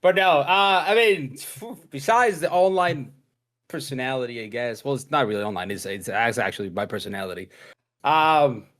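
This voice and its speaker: A man's voice: medium pitch, expressive, moderate pace, a bit bright. A young man from Mexico, a podcast guest talking casually on a video call, rambling with many fillers and restarts. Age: young adult. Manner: rambling, laid-back, lots of fillers and restarts. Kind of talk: casual chat.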